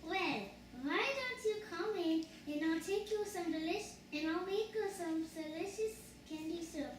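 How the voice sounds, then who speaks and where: A greedy, cackling old witch acted out by a woman in a children's puppet show. The voice is very high and animated, by turns coaxing and threatening. It is recorded from far off in a noisy, echoing room. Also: measured pace. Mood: wheedling. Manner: cackling, wheedling and menacing. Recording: echoey room, distant mic, noisy.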